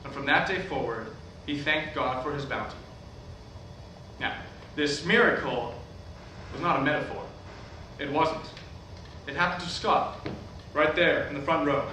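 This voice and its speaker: A man's voice: a little high, unhurried, bright, forceful tone. A man playing a showy middle-aged evangelist preacher, delivering a theatrical, emphatic sermon with a salesman's flair. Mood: solemn storytelling. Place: staged reading in a hall, recorded from afar. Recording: distant echoey hall, noisy room recording.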